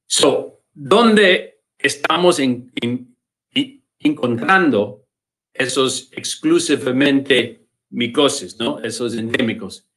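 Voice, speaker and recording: A man's voice: medium pitch, slow, a bit bright, rough recording. A man with an American English accent, a native English speaker, giving a virtual conference lecture in Spanish, often repeating words as he searches for them. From United States. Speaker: invited lecturer. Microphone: computer mic on a video call.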